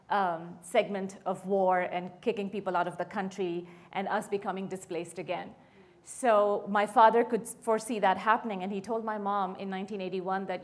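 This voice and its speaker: A woman in her fifties, from Bangladesh, telling her life story to an audience as a guest speaker and asking them questions along the way. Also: mid-range voice. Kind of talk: personal story told to an audience.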